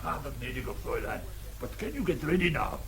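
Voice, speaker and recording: A man's voice: medium pitch, very animated, quick pace, gravelly. An actor playing an anxious Tyrolean mountain guide and father in a radio drama, formal and deferential. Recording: radio drama with faint background noise.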